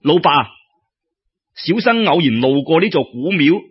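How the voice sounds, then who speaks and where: Storybook young scholar played by a male narrator: frivolous, smitten, flowery Cantonese, husky, lively; dull lo-fi sound. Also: fairly high pitch, measured pace. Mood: polite, eager. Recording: dull lo-fi radio-style recording.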